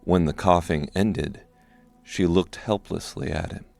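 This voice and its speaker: A man narrates a dark fantasy audiobook from a script. His voice is low, with a gravelly creak running through it. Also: steady tone, a little slow, soft background music.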